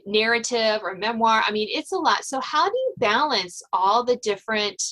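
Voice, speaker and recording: A woman's voice: on the high side, animated, moderate pace, fairly bright tone, rough recording. A woman hosting a podcast interview in a chatty, conversational way, often rephrasing as she goes. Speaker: podcast host.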